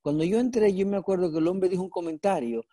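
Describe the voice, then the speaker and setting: An older man from the Dominican Republic with a Dominican Spanish accent, telling stories as an actor guest over a video call, animated and halting with frequent restarts. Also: high voice, no room sound, clean studio sound. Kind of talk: casual storytelling interview. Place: home room indoors. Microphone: earbud headset on video call.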